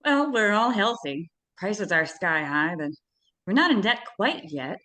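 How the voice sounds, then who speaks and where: A woman playing a busy, matter-of-fact mother in old-time radio drama, brisk and dismissive. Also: mid-range voice, sing-song, sharp tone. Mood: relaxed, teasing. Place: live stage radio-play performance.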